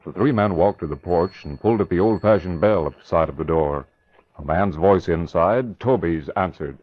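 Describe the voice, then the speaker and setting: A man's voice: low-pitched, normal speed. A man reading scripted narration for a 1940s radio crime drama. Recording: dull, faintly noisy old radio recording.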